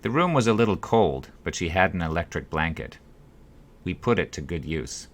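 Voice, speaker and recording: Man, low-pitched voice, audiobook narration, measured delivery, deliberate short phrases. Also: lively intonation. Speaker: author reading own book. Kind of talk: scripted audiobook narration of a personal story.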